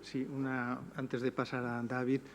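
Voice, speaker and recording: A man moderating a literary panel, asking measured, articulate questions. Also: medium pitch, monotone, long reverb tail, a little hiss or hum.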